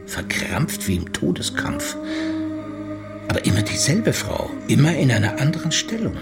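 An actor playing an older, courteous, sardonic police detective in a radio crime drama, with a dry, old-fashioned manner. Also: male voice, a little high, wildly swooping pitch, moderate pace, loud music behind the voice. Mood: dry, disdainful.

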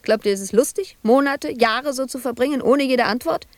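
A woman's voice: sits high, normal speed, no echo, natural voice. An actress playing a bitter, wounded woman sculptor shut away in an asylum, in a reproachful, volatile radio drama monologue. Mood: reproachful, hurt. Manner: reproachful, volatile, dropping at times to hushed murmurs.

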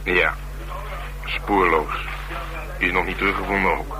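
An actor playing a gruff, streetwise police detective in a Dutch radio drama, talking in a dry, wry and slangy way. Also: male voice, deep voice, fairly even intonation, unhurried, crowd noise. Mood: dry, confiding. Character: male police detective, gruff, streetwise, worldly, asking a favour. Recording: noisy radio drama recording.

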